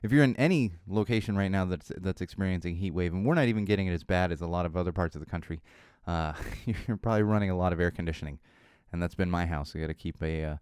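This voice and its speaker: A man in his thirties from Southern California, hosting a casual solo podcast, a bit hoarse, rambling and self-deprecating, with lots of fillers and restarts. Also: sits low, quick pace, few pauses, a little mellow, dry recording, clean studio sound. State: slightly hoarse. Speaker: podcast host.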